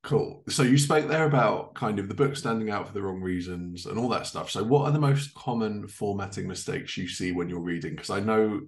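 A man's voice: fairly low pitch, expressive, a little fast, hardly any pauses. A man in his thirties interviewing in an informal, agreeable way over a video call. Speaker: podcast host, interviewer. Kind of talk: casual interview chat. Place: living room, indoors.

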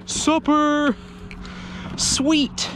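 Excitable young man fishing outdoors. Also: extremely high pitch, drawn-out words, a little hiss or hum, low-quality recording. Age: young adult. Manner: chatty, excitable when fish bite. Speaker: fishing vlogger. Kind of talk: casual outdoor vlog commentary. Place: small boat on a lake outdoors. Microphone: action camera on the boat.

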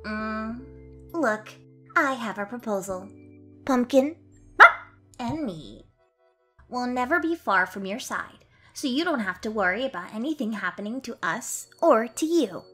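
A woman's voice: on the high side, lively intonation, measured pace, a bit bright, soft background music. A woman voicing a small, prickly but caring female alien technician in a fan comic dub, speaking in a matter-of-fact, awkwardly upbeat way. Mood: earnest.

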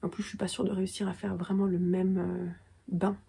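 A woman's voice: medium pitch, level tone, a little fast, vocal fry, warm tone, slightly rough. A woman in her thirties with a cold, chatting casually and self-mockingly to camera at home about her knitting, with lots of hesitations. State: has a cold. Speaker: knitting vlogger and yarn dyer. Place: small room at home, indoors.

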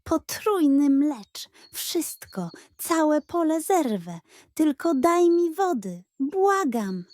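A woman voicing a cheeky, greedy male pug dog in a children's story, wheedling, excitable and dramatic. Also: high-pitched, extremely slow, no room sound, voice pushed up, full character voice. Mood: panicked pleading.

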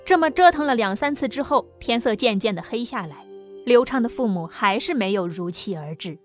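A woman reads a dramatic audiobook story in a fairly high voice. Soft music plays underneath her scripted narration. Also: lively intonation, a little fast.

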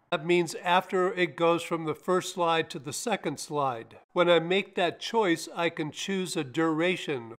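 Calm older man narrating a software tutorial. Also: on the high side. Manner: calm, methodical, talks the viewer through each click. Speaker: tech tutorial host. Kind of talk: step-by-step screen-recorded software tutorial.

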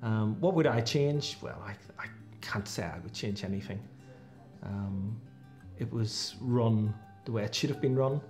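A man's voice: lowish voice, measured pace, a little mellow, faint music behind. A man giving a plain answer in an interview.

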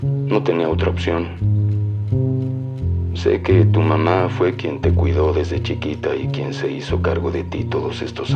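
A very deep, gravelly middle-aged father confesses in an audio drama, halting and grave. He sounds regretful yet defensive. The tape is dull, with loud music over it. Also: exaggerated voice. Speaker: supporting actor in audio drama.

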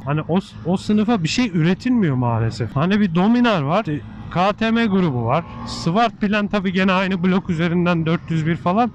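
A man's voice: high-pitched, highs cut off. A man talking casually while riding a motorcycle through city traffic, thinking aloud as he reviews the bike in an informal, chummy way. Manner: chatty and informal, calls the viewer "abi", muses aloud.

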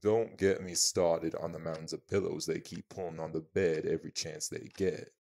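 Man playing imposing vampire spouse, very low voice, creaky, deadpan, haughty, protective, audio roleplay. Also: moderate pace. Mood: dry.

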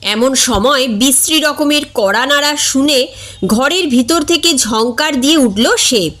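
A high-pitched woman narrates a comic short story. She takes her time, reading expressively as a storyteller. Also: unhurried. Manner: expressive, acts out characters' dialogue.

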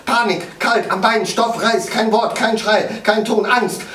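A man's voice: high voice, fairly even intonation, unhurried, pushed and bright, soft background music. An actor playing a terrified young man fleeing attackers, speaking in breathless, clipped bursts of inner monologue. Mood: fearful. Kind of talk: stage monologue. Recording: echoey stage sound from far off, faint ambience.

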